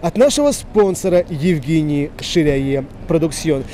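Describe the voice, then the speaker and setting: A man's voice: fairly high pitch, lively intonation, unhurried. A man in his thirties hosting a casual street quiz, joking and mock-serious, rambling with crude slang, into a handheld microphone outdoors. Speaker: street quiz show host. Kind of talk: casual comic street interview. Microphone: handheld reporter microphone.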